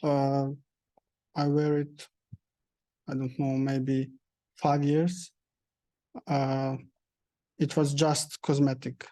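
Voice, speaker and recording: A young man from Bosnia, speaking as a panel guest with many pauses and restarts. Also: mid-range voice, flat delivery, warm tone, no room sound. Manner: halting, many hesitations and restarts. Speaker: panel guest, prosthesis user. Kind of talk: panel discussion answers.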